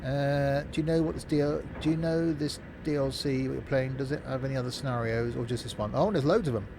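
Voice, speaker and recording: A man's voice: steady tone, quick pace. A man chatting casually and jokily as he live-streams a game, replying to viewers in an informal way. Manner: informal, jokey, chatty asides. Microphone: streaming microphone through a mixing desk. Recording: constant background noise, vehicle rumble.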